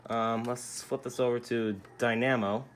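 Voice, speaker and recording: A young man with an ordinary middle-pitched voice talks loosely and unscripted, wandering off topic and swearing under his breath now and then. A faint hiss sits under the recording. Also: steady tone, unhurried. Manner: laid-back and rambling, with mild swearing. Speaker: radio collector showing his collection. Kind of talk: casual rambling product demo.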